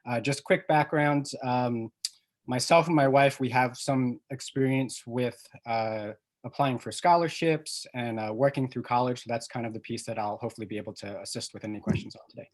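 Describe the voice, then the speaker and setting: A man's voice: medium pitch, normal speed. A man in his thirties from New York speaking as a panelist on a video call, easygoing and using hesitant fillers.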